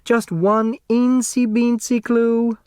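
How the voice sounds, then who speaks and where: Man voicing a syrupy, high-pitched social-climbing mother. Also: level tone, takes it slowly, a little mellow, clear, resonant tone, dry recording, clean studio sound. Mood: wheedling. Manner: wheedling, syrupy, affected. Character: gushing, wheedling social-climbing middle-aged mother, honey-sweet and uppity.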